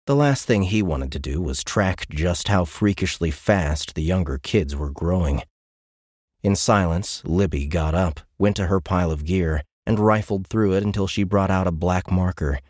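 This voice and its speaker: A man with a deep voice narrates an audiobook. The delivery is scripted, dramatic storytelling, recorded in a very dry studio. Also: moderate pace, darkish tone, no room sound.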